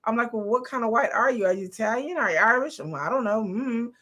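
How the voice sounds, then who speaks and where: A woman's voice: on the high side, animated, fast, a bit bright. A woman from the northern United States, talking in a blunt, rambling, profane monologue as host of a commentary channel. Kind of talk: casual opinion monologue.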